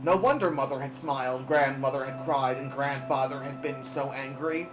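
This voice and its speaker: A woman reading a children's novel aloud expressively, with dramatic pauses. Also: very deep voice. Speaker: storybook reader. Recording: dull lo-fi sound, music behind. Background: music.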